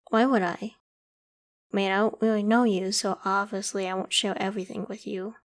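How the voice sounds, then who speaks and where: A woman voicing a polite, protective young female werewolf with a secret, in a gentle, reassuring ASMR roleplay. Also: mid-range voice, natural voice. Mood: evasive, nervous. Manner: gentle, reassuring, hesitant when evasive. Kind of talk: ASMR roleplay, acted one-sided conversation.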